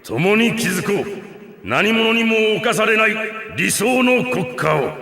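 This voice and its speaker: Grave, booming-echo robot general. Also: male voice, sing-song, slow, gravelly, bright, forceful tone, full character voice. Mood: solemn, resolute. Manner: grave, declamatory. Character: proud, stern robot army general, noble and unbending. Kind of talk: animated game cutscene acting. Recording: echoey game audio with long reverb.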